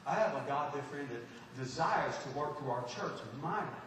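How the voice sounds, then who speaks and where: A Texan man preaches in a high voice, folksy and full of restarts, swinging between loud and soft. He is recorded from a distance in a church hall with heavy, lingering echo. A faint hiss sits underneath. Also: expressive, fairly quick. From Texas, USA. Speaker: pastor. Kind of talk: folksy sermon. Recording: echoey hall from far off, faint noise.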